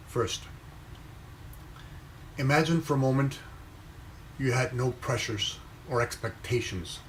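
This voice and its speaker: A young man raised in Venezuela, reading a motivational post aloud and commenting on it in a slow, measured way with pauses between phrases. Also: medium pitch, slight background noise, rough recording. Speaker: inspirational speaker reading his own post.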